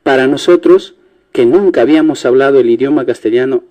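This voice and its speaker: A man's voice: medium pitch, fairly quick, dark tone. Earnest Quechua-man from Ayacucho. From Ayacucho, Peru. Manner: earnest, critical. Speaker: studio guest. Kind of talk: radio interview conversation.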